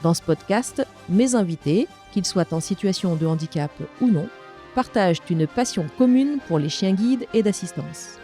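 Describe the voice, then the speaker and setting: A woman's voice: medium pitch, expressive, normal speed, dark tone, faint music behind. A woman hosting a podcast, presenting and interviewing in an articulate, careful way.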